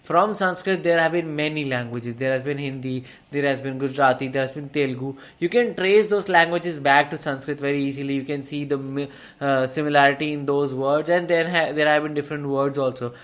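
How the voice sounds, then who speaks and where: A man's voice: a little high, a little fast, a bit bright. A young Indian man with an Indian accent explaining his views earnestly in casual chat. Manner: earnest, explanatory, says "like" often. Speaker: reaction video co-host.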